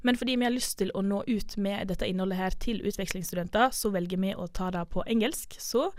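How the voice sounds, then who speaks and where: A woman's voice: mid-range voice, fast talker, no room sound. A young woman hosting a student radio show in a studio, asking informal questions with fillers. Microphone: studio mic.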